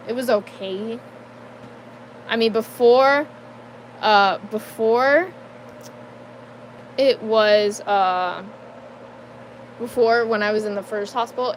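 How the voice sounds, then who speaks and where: A young adult woman giving a casual personal life update, halting and full of fillers, with nervous laughs between sentences. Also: mid-range voice, slight background noise, rough recording. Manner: hesitant, halting, many fillers and restarts. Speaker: vlogger.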